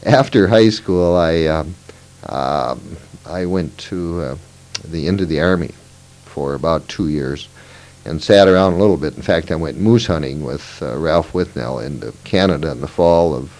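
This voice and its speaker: Man, fifties, Minnesota-raised, low voice; interviewee telling his life story, rambling, self-correcting. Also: a little mellow, low-quality recording. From Moorhead, Minnesota. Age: 50s. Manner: reminiscing, meandering with fillers and self-corrections. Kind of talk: oral history interview.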